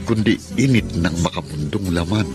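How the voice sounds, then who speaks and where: A male radio drama narrator with a deep voice. He delivers scripted lines dramatically, solemn and moralizing. Music is clearly heard behind him. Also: moderate pace. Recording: music bed under narration.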